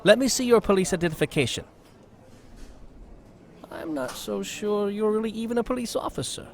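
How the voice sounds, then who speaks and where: Audio-play cop male actor: confrontational, shrewd detective, assertive, gravelly fry on a high male voice. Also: expressive, normal speed, a little mellow. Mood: stern, probing. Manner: assertive, challenging. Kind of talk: audio drama acting.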